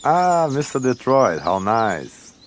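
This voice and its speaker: A suave, shady gentleman in a radio play. He talks slowly with very varied intonation. Faint outdoor birdsong sits behind him. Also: mid-range voice, very animated, a little hiss or hum. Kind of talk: radio drama acting.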